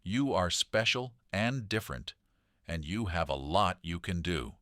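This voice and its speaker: Storybook boy hero, read by a man: deep, dry sound, earnest, kind, clear and encouraging. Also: animated, measured pace, fairly bright tone, no room sound.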